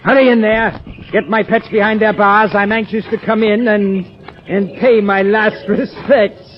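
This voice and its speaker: An actor playing a cruel mad professor villain in old radio horror style, commanding and theatrical. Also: male voice, high-pitched, fairly even intonation, a little slow. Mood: gloating menace. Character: cruel, gloating mad professor villain who breeds vampires. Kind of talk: 1940s radio horror acting. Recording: muffled, noisy old radio recording.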